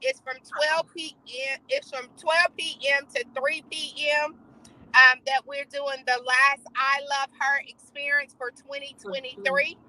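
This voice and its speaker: A woman's voice: high voice, steady tone, unhurried, pushed and bright, poor audio. A woman co-host in casual motivational chat, speaking emphatically and repeating phrases for effect. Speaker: talk show co-host.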